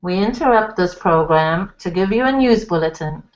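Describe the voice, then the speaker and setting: A woman's voice: lowish voice, expressive, moderate pace, natural voice. A woman voicing a formal male TV news anchorman with a stiff, announcer-like delivery, on a slightly dull lo-fi recording. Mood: grave.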